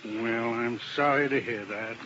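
A man's voice: mid-range voice, measured pace, noisy background, lightly acted. An actor playing a male defense lawyer with ulcers who talks in a rambling, wistful way, in a 1950s radio drama. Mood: rueful. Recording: muffled old radio recording.

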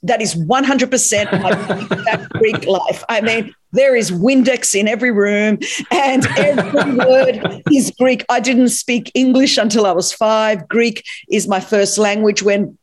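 A woman in her fifties with an Australian accent, telling her life story as an interview guest, animated and often laughing. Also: on the high side, unhurried. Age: 50s.

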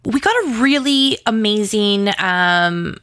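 A woman's voice: medium pitch, expressive, takes it slowly, a bit bright, smooth, no room sound. A woman chatting casually as an interview guest, effusive and rambling, peppering her talk with "like" and "you know". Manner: effusive, enthusiastic. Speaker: interview guest, audio drama writer and producer.

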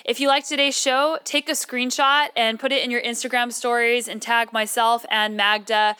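A woman podcast host with a bright, crisp voice. She speaks in an informal, chatty register, moving between show introductions and conversational interviewing. Her pitch sits a little high. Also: level tone, normal speed.

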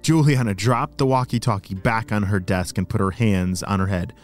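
A man's voice: lowish voice, normal speed, faint music behind. A man hosting a children's story podcast, narrating and explaining things simply to young listeners.

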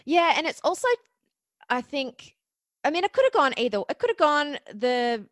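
A woman's voice: high-pitched, sing-song, fairly bright tone, no echo. Chatty Australian woman, dry close sound. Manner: chatty, quick storytelling. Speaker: podcast guest, media producer. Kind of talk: casual interview chat.

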